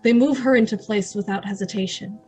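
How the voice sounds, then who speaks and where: A woman's voice: mid-range voice, a little fast, darkish tone, breathy edge, faint music behind, natural voice. A woman giving scripted narration as the narrator of an old-time radio drama.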